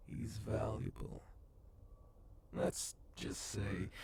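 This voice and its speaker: Male actor as menacing cyborg gang leader, gravelly fry, wildly swinging pitch, breathy close-mic whispering, mocking, dark ASMR roleplay. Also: exaggerated voice. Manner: slow, deliberate, taunting, swearing. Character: menacing sadistic male cyborg gang boss and bounty hunter.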